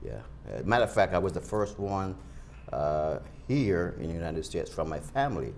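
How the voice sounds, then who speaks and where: Older guy from barbados, pastor, real low voice, kinda halting, stops and restarts a lot. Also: very animated, creaky, darkish tone, slight background noise. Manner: earnest, hesitant with many restarts. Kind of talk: interview answers.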